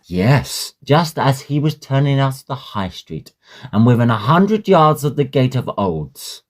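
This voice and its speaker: Audio drama: male actor as breezy undergraduate, low pitch, unhurried, swooping storyteller's delivery, slangy and chummy. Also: big pitch swings. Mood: gossipy, dramatic. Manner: jaunty, storytelling, old-fashioned slang. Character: chatty, breezy Victorian Oxford student, gossipy young man. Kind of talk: Victorian audio drama dialogue.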